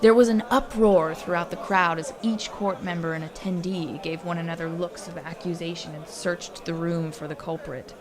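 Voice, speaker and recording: A woman's voice: fairly low pitch, fairly even intonation, normal speed, hardly any pauses, crowd noise. A woman narrating a scripted story in a wry, dramatic way.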